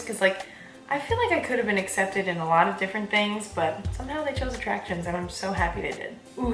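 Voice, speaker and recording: A woman in her twenties giving casual advice in a chatty vlog, with a bubbly, animated, informal manner. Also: mid-range voice. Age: 20s. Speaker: vlogger giving application advice. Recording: roomy echo, faint background music.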